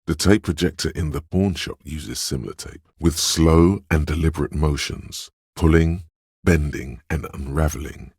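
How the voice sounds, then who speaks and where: A man voicing the brooding inner voice that narrates a detective video game, deliberate, weighty and intimate in delivery. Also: darkish tone, no echo, studio quality, exaggerated voice. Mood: measured, wry. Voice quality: very deep, gravelly, husky. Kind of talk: dramatic second-person game narration.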